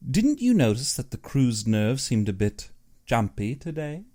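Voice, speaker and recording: A man voicing an uneasy, superstitious big-game hunter in an audiobook, earnest and brooding in his expressive delivery. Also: mid-range voice, measured pace. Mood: ominous. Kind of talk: audiobook character dialogue.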